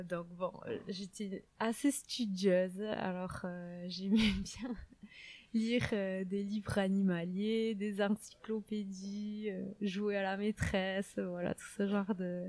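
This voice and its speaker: A woman from southwest France with a southwestern French accent, a podcast guest answering casually with many pauses and fillers. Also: mid-range voice, mellow, no echo. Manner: hesitant, many fillers, laughs easily.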